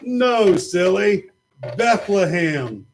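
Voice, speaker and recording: High-voiced man telling a Bible story. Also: big pitch swings, drawn-out words, vocal fry, faint music behind. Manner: patient, gently explaining. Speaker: puppet show presenter. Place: church.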